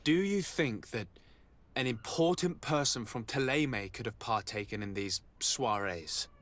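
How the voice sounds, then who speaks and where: Composed, courteous game investigator. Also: male voice, medium pitch, lively intonation, measured pace, a bit bright. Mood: polite, probing. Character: composed, courteous but pressing male investigator. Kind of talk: video game voice acting.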